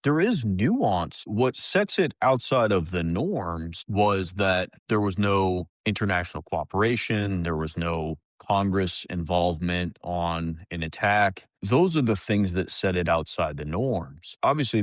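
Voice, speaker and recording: A man co-hosting a casual podcast as a psychiatrist, earnest and analytical in a measured way. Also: sits low, dry recording, studio quality.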